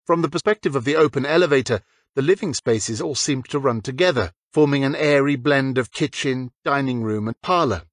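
A man reading fiction as a scripted audiobook narrator, with a husky voice. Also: medium pitch, a little fast, no echo.

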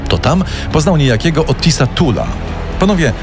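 A man's voice: a little high, big pitch swings, a little fast, over music. A man narrating a scripted true-crime story in a dramatic storytelling style.

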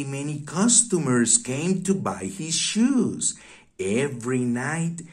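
A man reading a fairy tale aloud for young children in a deliberate, expressive way, with pauses. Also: medium pitch.

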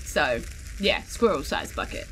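A woman's voice: deep voice, very animated, unhurried, a bit bright, breathy edge. A woman with a New Zealand accent chatting casually as a player in a tabletop game.

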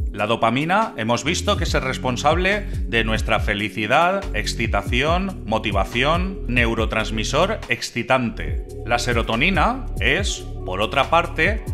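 A man at a mid-range pitch narrates a scripted explainer as a channel host. He lays out points in a measured, explanatory way and throws rhetorical questions at the listener, with clear background music playing under him. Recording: clear music bed behind the voice.

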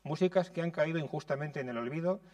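A man in his thirties from Spain, reading a prepared lecture in a measured way in a conservatory lecture room. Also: on the high side, a little mellow, no echo, recorded from a distance. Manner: measured, reads from notes.

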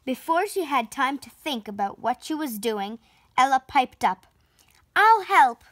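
A preteen girl reading a children's picture book aloud with expressive, deliberate storytelling. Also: sits high, dry recording. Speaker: storybook reader and channel host. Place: indoor room at home, on a sofa.

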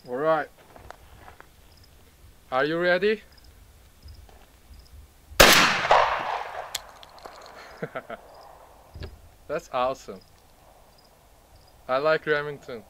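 A young man from Turkey with a Turkish accent, speaking halting English outdoors and laughing his way through retakes of a gun-safety demo. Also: a little high, heavy background noise. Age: young adult. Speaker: first-time shooter presenting a gun. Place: outdoors in a gravel field by a truck. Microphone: camera mic from a few meters.

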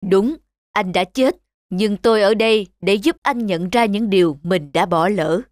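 A man voicing a calm spirit of death in a cartoon, speaking in a measured, philosophical, instructive way. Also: high voice, no room sound, studio quality. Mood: calm, guiding. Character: calm male spirit of death, solemn guide to the dead. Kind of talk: cartoon voice acting.

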